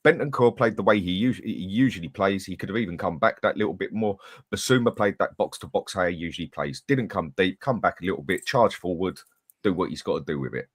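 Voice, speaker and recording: A man's voice: low voice, expressive, fast, slightly rough, no echo. A man speaks bluntly in a football chat, cutting arguments short with decisive verdicts. The recording is dry and close. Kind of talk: heated casual debate.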